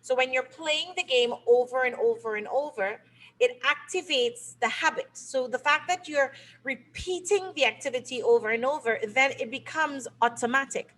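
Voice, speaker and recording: A middle-aged woman from Trinidad and Tobago answers interview questions. She explains her ideas patiently, the way a teacher would. Her voice sits a touch higher than usual. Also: moderate pace, fairly bright tone, rough recording. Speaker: game creator and teacher.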